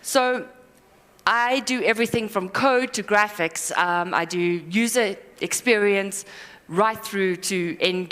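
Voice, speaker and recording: A woman giving a live conference talk, rambling and self-deprecating with quick asides and apologies. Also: fairly low pitch, fairly bright tone. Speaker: conference speaker. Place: conference hall. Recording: hall reverb.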